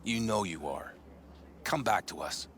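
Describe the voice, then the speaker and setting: An actor voicing a calm, loyal male nomad fighter in a video game, reassuring in tone. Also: lowish voice, a little slow, a little hiss or hum. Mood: gentle.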